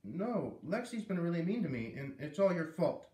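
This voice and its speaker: A man's voice: on the high side, very animated, normal speed, a little mellow, pitched above the performer's own voice. A man voicing a hurt, defensive young schoolgirl in a storybook read-aloud, plaintive and blurting as she confides. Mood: upset, snapping. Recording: roomy echo, distant microphone.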